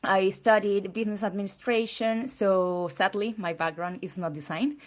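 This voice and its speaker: A woman's voice: mid-range voice, steady tone, moderate pace. Peruvian woman, spanish-accent english, earnest conference talk, slightly off phrasing. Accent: Latin American Spanish. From Peru. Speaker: conference speaker. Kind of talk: presentation talk.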